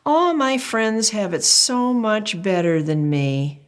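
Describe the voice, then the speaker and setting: A woman voicing a mopey, envious young boy spoon in a storybook, complaining in a drawn-out, plaintive way. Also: mid-range voice, very animated, voice pushed up. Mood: glum, envious.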